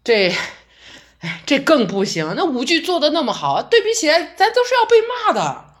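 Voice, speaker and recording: A woman's voice: high voice, huge pitch swings. Woman playing a fussy conservative male director. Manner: flustered, interrupting, quick to refuse. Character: conservative middle-aged male theater director, dismissive. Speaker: director character. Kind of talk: audio drama acting.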